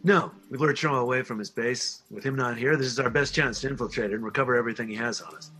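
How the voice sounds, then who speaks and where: A man's voice: medium pitch, fast, pushed and bright, slightly rough, soft background music. A man acting a scheming undercover spy villain who poses as a boss, in a script read. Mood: cold, commanding.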